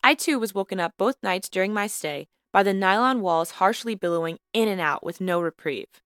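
A woman narrating a student podcast episode in a measured, lightly wry scripted style. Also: mid-range voice, a bit bright, no room sound, clean studio sound. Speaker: podcast host and student reporter.

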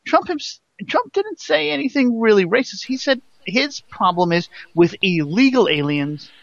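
A man with a high-pitched voice chats casually and prods with questions. The audio is dry and somewhat dull, like a low-fidelity feed. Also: sing-song, moderate pace, gravelly, dry recording. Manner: questioning, prodding. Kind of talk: casual panel banter.

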